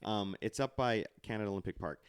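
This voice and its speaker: A man's voice: fairly low pitch, quick pace, clear, resonant tone. Joking man hosting a casual podcast. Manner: joking, freely swearing. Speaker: podcast host.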